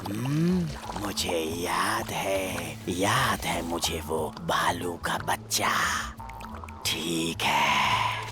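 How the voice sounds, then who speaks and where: An actor playing a gruff but kind old male forest animal in a children's cartoon dub, speaking in a ponderous, scolding manner. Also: low voice, very slow, music in the background. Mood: pondering.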